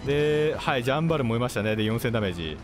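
Man doing animated gameplay commentary over music. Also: medium pitch, very fast. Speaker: gaming video host. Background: game music, gunfire and artillery.

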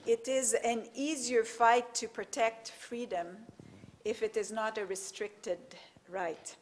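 A woman moderating a panel discussion from a podium in a lecture hall, speaking haltingly with many fillers. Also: a little high, fairly even intonation, slight background noise.

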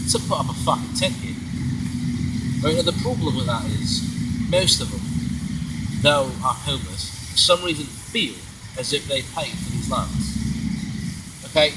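A young man chatting casually in a park, loose and rambling with frequent swearing, filmed on a phone held by a friend. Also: on the high side. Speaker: vlogger. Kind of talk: casual chat. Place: outdoor city park, sitting on grass. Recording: distant phone mic with traffic noise.

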